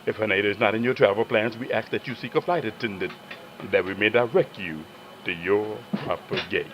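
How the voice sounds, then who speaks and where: A man's voice: on the low side, fairly quick. A man making formal, practised in-flight announcements as a flight attendant over a cabin PA. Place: aircraft cabin. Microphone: cabin PA handset. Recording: muffled cabin PA, aircraft noise.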